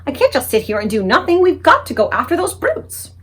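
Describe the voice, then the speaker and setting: A woman voicing a determined little orphan girl who speaks high, urgent and insistent. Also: very animated, fairly quick, husky. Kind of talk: audiobook character reading.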